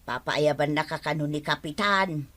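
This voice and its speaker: A woman's voice: deep voice, normal speed, a bit bright. A woman playing a nosy, teasing village messenger in a comic radio drama.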